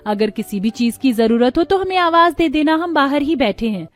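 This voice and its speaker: Helpful high-voiced young sister-in-law, acted by a woman. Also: animated, normal speed.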